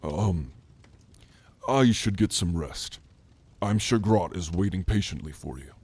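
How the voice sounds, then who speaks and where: A man as a slow, low-voiced gentle orc mage. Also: expressive, slightly rough, exaggerated voice. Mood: bashful, earnest. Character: gentle scholarly male orc mage, tender and bashful. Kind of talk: ASMR roleplay.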